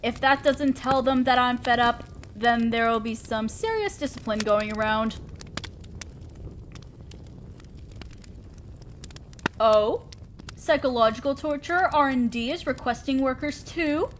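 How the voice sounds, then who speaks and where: A woman plays an imperious demon lord of hell with a distinctly high feminine voice. She is commanding and condescending, an exacting boss in an audio roleplay. Clear background noise and ambience sit behind her. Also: moderate pace, lightly acted. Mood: businesslike, wry. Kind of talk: scripted roleplay audio drama monologue.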